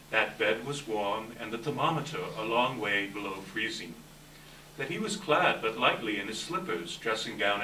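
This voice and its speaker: Animated male storyteller in an echoey room. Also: medium pitch. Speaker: narrator in a readers theater. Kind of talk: dramatic scripted storytelling, live stage reading. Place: live event room, distant microphone. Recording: echoey hall from far off, faint noise.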